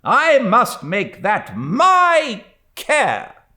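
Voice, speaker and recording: A man's voice: very high voice, huge pitch swings, extremely slow, bright, forceful tone, pitched above the performer's own voice, exaggerated voice. A man voicing a stern, domineering elderly aunt in a storybook reading, with a commanding, clipped and indignant manner. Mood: imperious.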